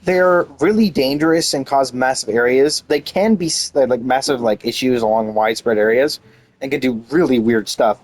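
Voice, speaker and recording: A man's voice: mid-range voice, moderate pace. A man giving casual, off-the-cuff game commentary, explaining things informally and often interrupting himself. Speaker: game streamer.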